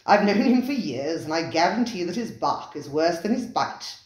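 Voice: medium pitch, sing-song, moderate pace, close to an everyday voice. A reader voicing Lafleur, a jovial, hearty male regular diner who talks in a teasing way. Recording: roomy, echoey recording.

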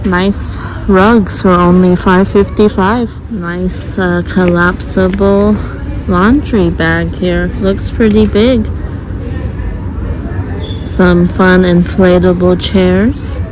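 A woman narrating a shopping vlog as she walks store aisles, reading out items and prices in an unhurried way with light chuckles, recorded on a handheld phone. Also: lowish voice, fairly even intonation, noisy background. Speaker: shopping vlogger. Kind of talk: casual shop-with-me narration. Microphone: phone camera held by the speaker.

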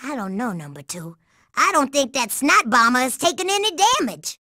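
A woman's voice: sits high, very animated, normal speed, bright, forceful tone, dry recording, studio quality, heavily acted. A woman voicing a sassy, tough, confident young girl secret agent in a cartoon video game, with streetwise slang. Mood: sly, wry. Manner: streetwise slang, refers to herself in third person.

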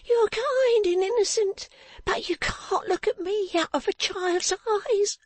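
A woman's voice: very high-pitched, unhurried, a little mellow, dry recording, pitched above the performer's own voice. A woman voicing a distraught, bitter young woman of the streets in a classic novel, crying out in anguished, urgent bursts. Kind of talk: dramatized audiobook reading of a classic novel.

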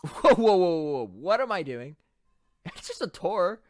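Woman voicing a cocky young male squire, swooping pitch. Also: very animated, measured pace, darkish tone, no echo, soft background music. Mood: flustered, brooding. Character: young male unicorn squire, cocky, self-conscious, scheming. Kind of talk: animated web series voice acting.

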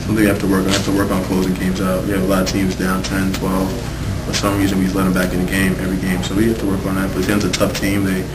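Quick-talking young basketball player. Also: male voice, low-pitched, completely flat delivery. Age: young adult. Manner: casual, says "you know what I'm saying". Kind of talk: postgame press conference answers. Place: small room with team backdrop. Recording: echoey room, distant mic, background noise.

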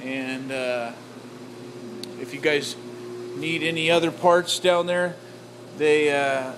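A man filming a casual walkthrough of his home reef aquarium setup, rambling with lots of filler words as he talks to the camera. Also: fairly high pitch. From Oregon. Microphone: handheld camera, off-screen. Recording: camera mic with noise.

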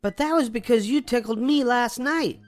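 A man's voice: very high-pitched, normal speed, soft background music, voice pushed up. A man voicing a squabbling young boy bear cub in a storybook reading, whiny and accusing.

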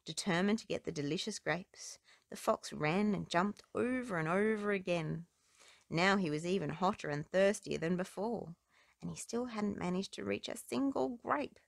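A woman's voice: medium pitch, moderate pace, creaky, no echo. A woman narrating children's stories and rhymes aloud as a storybook reader, recorded close up indoors.

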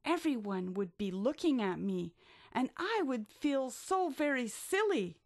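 A woman's voice: high-pitched, sing-song, measured pace, voice pushed up, exaggerated voice. A woman voicing a very shy, self-conscious male butterfly who frets and protests in a children's storybook. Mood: anxious protest. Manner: fretful, protesting.

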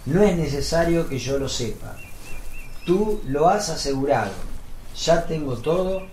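A man's voice: fairly high pitch, expressive, measured pace, darkish tone, reverberant, a little hiss or hum. A man playing a timid, earnest and devout apostle confiding humbly in his master, in a religious radio drama. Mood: humbly relieved.

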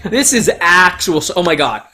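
A man's voice: sits high, sing-song, moderate pace, sharp tone. A man giving casual, opinionated gameplay commentary, swearing freely and stressing his points. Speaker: let's play host.

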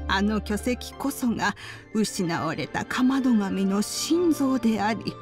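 Elderly grandmother, fairly high voice, unhurried delivery, kindly, knowing, old-fashioned phrasing, game dialogue, music behind. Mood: calm, measured. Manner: slow, archaic old-person phrasing. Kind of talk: video game character dialogue. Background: background music.

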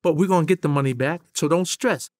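A man's voice: on the high side, fast. A middle-aged man with a New York accent, an interview guest telling street stories emphatically, full of slang. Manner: streetwise, emphatic, heavy slang, gestures while talking. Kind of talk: animated street storytelling. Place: indoor studio with a drum kit.

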